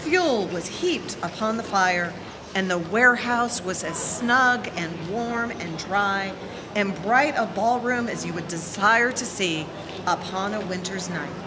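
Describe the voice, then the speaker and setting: A woman's voice: medium pitch, lively intonation, measured pace. A woman narrating a scripted dramatic reading as a storyteller. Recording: reverberant hall, faint noise.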